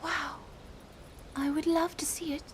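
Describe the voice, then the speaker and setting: A woman voicing a shy, gentle young aquatic princess in a fantasy game, speaking softly, timidly and politely. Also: sits high, little pitch movement, unhurried, slight background noise. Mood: shy, hopeful. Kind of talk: fantasy video game cutscene acting.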